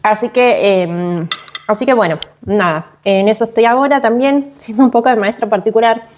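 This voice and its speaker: A woman's voice: a little high, moderate pace, slight background noise. Chatty Argentine woman vlogging at home. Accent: Argentine Spanish. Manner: chatty, many fillers and restarts. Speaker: vlogger and mother. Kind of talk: casual chat to camera. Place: at home, indoors.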